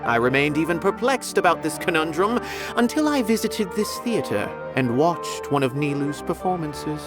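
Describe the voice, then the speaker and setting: A pompous male art connoisseur in a video game. His speech is florid and theatrical, rising and falling widely. Game music plays clearly behind him. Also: on the high side, very animated, normal speed. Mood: musing. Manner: grandiloquent, florid and dramatic.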